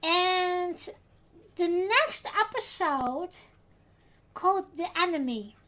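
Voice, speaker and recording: A Dutch woman hosting a podcast, with a notably high voice. She speaks English as a second language, hesitating often and filling pauses with "uh" and "um." The tone is relaxed and chatty. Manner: hesitant, many filler sounds. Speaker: podcast host. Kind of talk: casual podcast chat.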